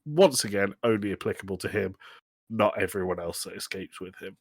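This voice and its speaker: Male podcast host, lower register, very dry sound, sarcastic and jokey in loose film banter. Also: a little fast, breathy edge, dry recording. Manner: joking, sarcastic, exaggerating. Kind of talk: casual comedic film review chat.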